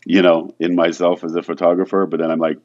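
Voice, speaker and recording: A man's voice: low voice, level tone, fast talker, lo-fi sound. A man from France, a photographer, talking casually and hesitantly as an interview guest, with many restarts. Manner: soft-spoken, hesitant, many restarts and "you know".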